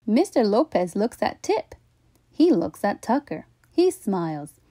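A woman reading a children's story aloud with expressive, deliberate, emphatic phrasing. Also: mid-range voice, no room sound, clean studio sound.

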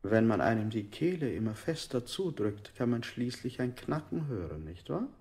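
An actor playing an insinuating, sinister interrogator in a 1960s radio play. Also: male voice, medium pitch, very animated, moderate pace, darkish tone.

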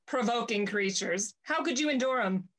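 A woman's voice: on the high side, expressive, moderate pace, fairly bright tone. A young woman playing a jealous, imperious jilted lady in a Restoration comedy, melodramatic and haughty. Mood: wounded, haughty. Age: young adult. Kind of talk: theatrical period stage acting over video call. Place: indoor room at home, on video call.

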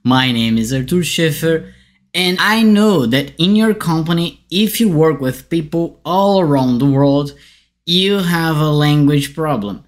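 A man in his thirties speaks English with a light Brazilian Portuguese accent, his native tongue being Portuguese. He walks through a software tool in a friendly, explanatory tone, slowing down on key points. He is recorded in a bright indoor room at a desk-distance camera. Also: fairly high pitch. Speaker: tech writer demonstrating software. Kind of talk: software tutorial.

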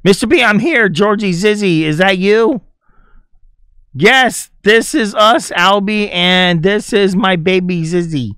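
A man voicing silly, excitable animal characters from a video game, reading their lines in an exaggerated, playful way. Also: sits high, takes it slowly, smooth, no room sound, studio quality, pitched above the performer's own voice. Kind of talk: reading game cutscene dialogue aloud.

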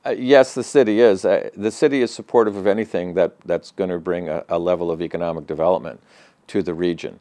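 An older man answering interview questions in an office, speaking in a measured, matter-of-fact way with frequent fillers and restarts. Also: sits low, fairly even intonation, dark tone, no room sound. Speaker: regional center president, interview guest. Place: office with bookshelves, indoors. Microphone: lapel mic.

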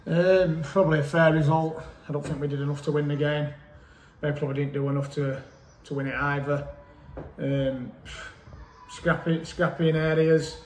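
A man reviews a match in a steady, fairly level voice pitched a bit high for a man. He weighs each point plainly, with many hesitant ums. The small office around him sounds echoey, with a faint hiss underneath. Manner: measured, matter-of-fact, frequent hesitant ums. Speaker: football manager. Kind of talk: post-match interview. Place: small indoor club office. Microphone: camera mic at close range. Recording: echoey room, faint background noise.

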